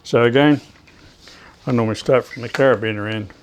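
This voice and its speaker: Male hobbyist presenter, medium pitch, casual practical demonstration with a hesitant, halting delivery. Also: a little hiss or hum. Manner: hesitant, halting, talking while working with his hands. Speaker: ham radio hobbyist presenting DIY tips. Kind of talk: hands-on how-to demonstration, casual.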